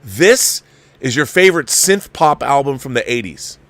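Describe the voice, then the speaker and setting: A man's voice: mid-range voice, sing-song, moderate pace, fairly bright tone. A man hosting a livestream, giving casual film commentary and answering viewers, repeating phrases for emphasis and often restarting his sentences. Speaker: livestream host reviewing a film.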